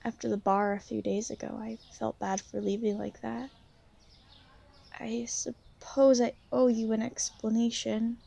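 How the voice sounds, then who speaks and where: Shy, insecure young female vampire, played by a woman, for ASMR roleplay; mellow, creaky voice, halting and apologetic. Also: fairly high pitch, level tone, exaggerated voice. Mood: uneasy. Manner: hesitant, halting, soft-spoken, apologetic. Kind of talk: ASMR roleplay, intimate one-sided conversation.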